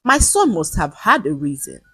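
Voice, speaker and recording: A woman's voice: fairly low pitch, very animated, moderate pace, husky, no echo, soft background music, heavily acted. A woman storyteller voicing a rich, indulgent mother who is haughty, angry and cutting. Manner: haughty, sharp, cutting, dismissive. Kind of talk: dramatised quotes in narrated folktale storytelling.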